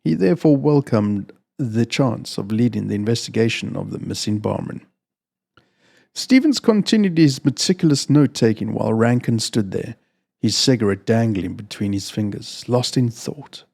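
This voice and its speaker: A man's voice: on the low side, animated, normal speed, creaky, a little mellow. A man presenting and narrating fiction as a storyteller. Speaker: storyteller, channel host reading own fiction. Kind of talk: casual introduction, then audiobook-style story narration.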